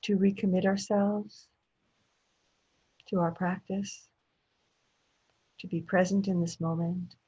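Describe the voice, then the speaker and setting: A woman meditation teacher leading a guided meditation in gentle short phrases with long pauses between them. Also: fairly low pitch, level tone, breathy edge. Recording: dull, muffled lo-fi audio.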